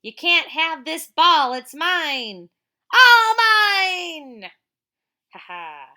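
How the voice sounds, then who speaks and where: A woman voicing a mean, bratty little girl bully from a comic, gloating and whining in drawn-out shouts. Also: very high-pitched, sing-song, voice pushed up, exaggerated voice. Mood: gloating, possessive.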